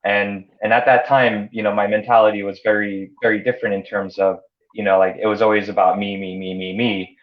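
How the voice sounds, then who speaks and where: A man's voice: on the low side, level tone, brisk. Hesitant man with lots of repeats. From born in Canada, grew up in the United States. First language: Hungarian. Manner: hesitant, many repeated words and fillers. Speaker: interview guest, entrepreneur. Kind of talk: casual conversational interview. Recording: dull lo-fi audio.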